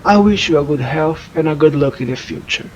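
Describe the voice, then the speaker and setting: A man playing a young man in a horror video game, reading notes and musing to himself in a deliberate way. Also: on the high side, lively intonation, muffled recording, slight background noise, close to an everyday voice. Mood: measured reading.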